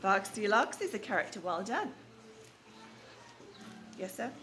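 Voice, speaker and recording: A woman's voice: medium pitch, sing-song, a little slow, sharp tone, soft background music. A woman reading a storybook aloud and questioning young children in a teacherly way, repeating answers back. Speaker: read-aloud storyteller leading a children's class. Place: classroom or school room with children. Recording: noisy live room with background noise.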